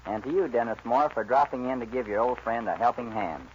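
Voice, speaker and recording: Formal American announcer, muffled vintage radio. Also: male voice, medium pitch, fairly quick. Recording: muffled 1940s radio recording with hiss.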